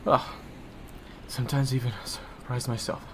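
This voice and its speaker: A young man in an audio roleplay, talking in low, sardonic murmured asides. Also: fairly even intonation, normal speed, background noise. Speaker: roleplay voice actor playing assassin roommate.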